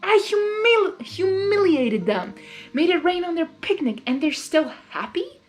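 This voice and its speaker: A woman's voice: very high voice, big pitch swings, measured pace, faint music behind, voice pushed up, heavily acted. A woman voicing a scheming, easily exasperated male trickster of chaos in a fan fiction reading, theatrical and grumbling. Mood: frustrated.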